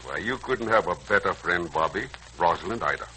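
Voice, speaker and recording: An actor playing a genial, folksy, teasing older uncle in a 1940s radio serial. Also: male voice, low voice, animated, brisk, pushed and bright, poor audio. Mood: jovial. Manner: jovial, folksy. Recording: old radio recording, faint noise.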